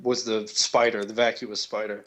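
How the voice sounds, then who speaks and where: A man on an internet call, casually explaining his views on video games in a low-key, hesitant way. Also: little pitch movement, moderate pace, fairly bright tone. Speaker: caller to a gaming stream.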